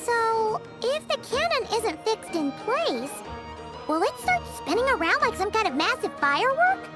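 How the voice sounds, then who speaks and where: Childlike female game companion, extremely high squeaky voice, excitable, chatty, music in the background. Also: unhurried, exaggerated voice. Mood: curious, casual. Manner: perky, refers to self in third person. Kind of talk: English video game voice acting. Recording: game music playing behind.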